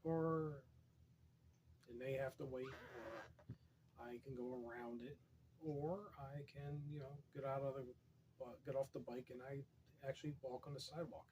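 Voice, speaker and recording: A man with a hoarse, fading voice, reading poetry aloud and drifting into wry, rambling asides, keeping his voice soft and quiet. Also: mid-range voice, a little slow, pauses often, background noise. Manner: quiet, soft-spoken, wry deadpan humor. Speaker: poetry reader.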